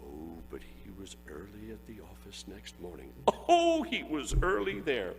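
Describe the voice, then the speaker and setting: A man's voice: fairly low pitch, wildly swooping pitch, measured pace, vocal fry, long reverb tail, a little hiss or hum. A man giving a dramatic storybook reading, relishing each phrase. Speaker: reader.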